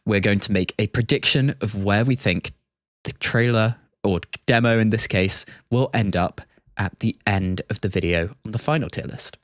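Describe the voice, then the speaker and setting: A man with a fairly low voice hosts a livestream in casual, methodical explanation. The sound is close and dry, with very little room around him. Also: no room sound. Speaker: livestream host. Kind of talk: casual scripted-free stream commentary and explanation.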